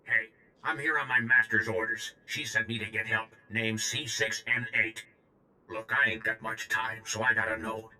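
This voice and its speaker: A man's voice: fairly low pitch, monotone, moderate pace, pushed and bright, slight background noise, low-quality recording. A man playing a brash, slangy reprogrammed droid in a video game, talking bluntly and informally with a robotic edge. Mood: brash, urgent. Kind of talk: video game character dialogue.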